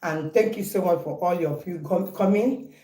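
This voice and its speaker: A woman's voice: lowish voice, moderate pace, dark tone, roomy sound, poor audio. A Nigerian woman in her forties, chatting casually in a home vlog and playfully repeating herself. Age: 40s. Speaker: vlogger. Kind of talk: casual birthday vlog to viewers.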